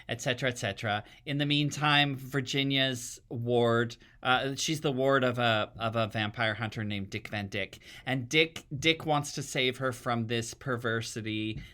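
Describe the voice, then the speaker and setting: A man with a bright, forward voice at an ordinary middle pitch talks as a theatre guest on a podcast. He explains things casually and at length, feeling his way through each thought. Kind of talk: casual interview chat.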